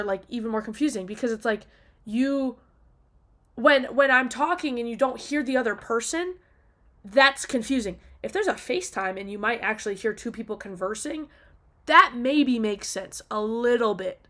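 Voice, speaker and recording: Exasperated woman ranting, voice fairly high. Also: animated, normal speed, a bit bright. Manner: exasperated, emphatic, casually sweary. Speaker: video diarist. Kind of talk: casual opinionated rant, spoken off the cuff.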